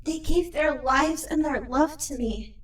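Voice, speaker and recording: A woman's voice: sits high, measured pace. A woman voicing an anxious young pony turned changeling queen, emotional and dramatic, in a fantasy audio drama. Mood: troubled, awed. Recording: echoey voice over music. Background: music.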